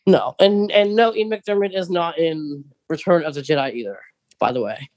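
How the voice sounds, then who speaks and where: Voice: mid-range voice, normal speed, darkish tone, dry recording. Casual podcast co-host, dry close sound. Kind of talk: casual fan discussion.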